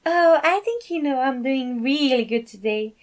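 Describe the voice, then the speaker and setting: A woman's voice: high voice, lively intonation, normal speed, voice pushed up. A woman voicing a bubbly, doting fatherly man, sweet and childlike, with a gushing, affectionate manner in a story reading. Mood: happy, curious.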